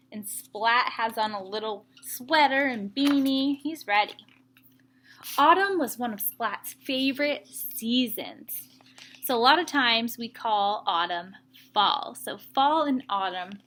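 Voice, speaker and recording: Slow, bright-voiced woman teacher reading aloud. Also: a little high, slight background noise. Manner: expressive, sing-song emphasis with explanatory asides. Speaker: young children's teacher. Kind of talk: read-aloud storytelling for young children.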